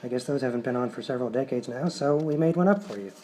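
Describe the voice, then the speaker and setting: A man's voice: medium pitch, quick pace, warm tone. A man presenting a live comedy show as its writer, talking conversationally to the audience. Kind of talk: stage introduction, conversational. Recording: live stage, faint noise.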